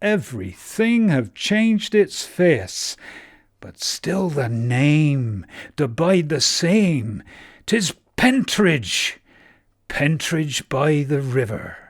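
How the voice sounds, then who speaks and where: A man's voice: fairly high pitch, sing-song, very slow, no room sound, clean studio sound. A man with a Dorset accent reciting dialect poetry. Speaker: poetry reader, literary society member.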